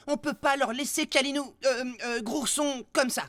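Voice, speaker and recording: Boastful big-brother kid for animation female performer, slightly high, boyish and casual, loud noise underneath. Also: steady tone, moderate pace, close to an everyday voice. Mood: dismissive, sheepish. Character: boastful older brother boy, secretly soft-hearted. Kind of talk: animated cartoon acting.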